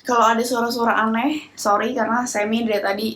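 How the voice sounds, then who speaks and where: A woman's voice: on the high side, steady tone, fast talker, fairly bright tone. A young woman giving a casual plant care how-to. She has a cold, so her voice is nasal, and she talks chattily with many fillers and English phrases mixed in. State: stuffy nose from a cold.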